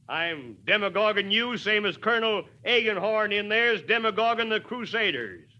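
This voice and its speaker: A man's voice: sits high, dull audio. A man playing a plainspoken, stubborn American small-town mayor with folksy, wry oratory, in 1940s radio drama style. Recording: old 1940s radio broadcast.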